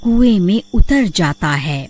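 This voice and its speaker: A woman's voice: mid-range voice, animated, slow pace, darkish tone. A woman storyteller reading scripted narration for a horror cartoon. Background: background music.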